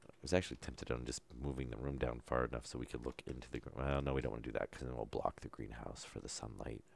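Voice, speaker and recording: A man calmly talking through a survival game as he plays, low-key and unhurried, with no put-on enthusiasm. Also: gravelly. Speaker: gameplay commentator. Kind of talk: casual let's-play commentary.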